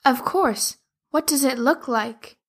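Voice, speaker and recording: A woman's voice: high-pitched, takes it slowly, breathy edge, heavily acted. A woman voicing a kind, helpful young girl in a storybook, speaking cheerfully and eagerly.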